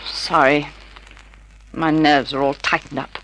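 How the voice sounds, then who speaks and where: An Australian actress playing a passionate young adulterous wife plotting murder, in a melodramatic mid-century radio drama style. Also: female voice, low-pitched, flat delivery, slow, fairly bright tone, slight background noise, low-quality recording. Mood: irritable, nervous.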